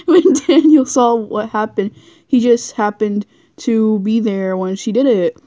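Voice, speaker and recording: A woman's voice: a little high, takes it slowly, long pauses, dark tone, no echo, studio quality. A woman doing a casual, goofy read-aloud of fan fiction, giggly and often stumbling over her words. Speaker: amateur reader of fan fiction.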